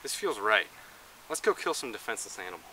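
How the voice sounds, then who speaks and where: A man's voice: lowish voice, big pitch swings, fast talker, sharp tone, exaggerated voice, low-quality recording. A young man in his late teens playing a snarky, defensive hiker in an amateur comedy short. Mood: earnest, deadpan. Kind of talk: amateur comedy sketch acting. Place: outdoors on a woodland trail. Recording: outdoors with faint ambient noise.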